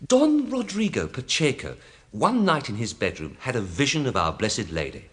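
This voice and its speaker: Fussy, lilting parish priest. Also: male voice, mid-range voice, wildly swooping pitch, normal speed. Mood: confiding. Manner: hesitant, rambling, flustered. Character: fussy, timid, apologetic male parish priest. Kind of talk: radio drama acting.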